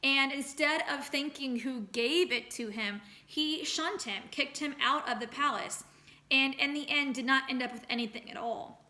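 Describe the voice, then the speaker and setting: A woman teacher giving an expressive storybook read-aloud for children, with emphatic, deliberate phrasing and lesson-style explanation. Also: sits high.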